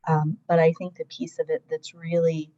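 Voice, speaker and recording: A woman's voice: low-pitched, flat delivery, normal speed, dark tone, dull audio, a little hiss or hum. A woman panelist reflecting thoughtfully on her career path, repeating words as she goes. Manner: thoughtful, restarts words, many ums. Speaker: webinar panelist.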